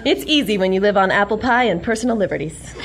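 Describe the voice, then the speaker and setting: A woman's voice: mid-range voice, lively intonation, quick pace, very noisy, close to an everyday voice. A woman playing a brash, wisecracking young American patriot heroine with an American accent in old-time radio theater. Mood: taunting. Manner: brash, sardonic. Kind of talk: 1940s-style radio theater acting.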